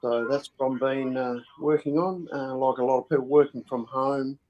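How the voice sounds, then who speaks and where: Aussie bloke on an ipad call, friendly, lots of ums and uhs, mid-pitched voice. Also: male voice, steady tone, moderate pace, muffled recording. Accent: Australian. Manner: friendly, hesitant, lots of filler words. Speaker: ham radio net participant. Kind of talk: casual self-introduction on a video call. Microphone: tablet on a video call.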